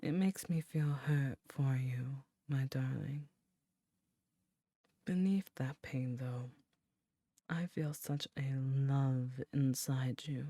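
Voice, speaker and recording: A woman voicing an ancient succubus queen, tender and soothing, in a soft, breathy, intimate ASMR roleplay. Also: a bass voice, no room sound, clean studio sound, exaggerated voice. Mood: tender, solemn. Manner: slow, intimate, reassuring.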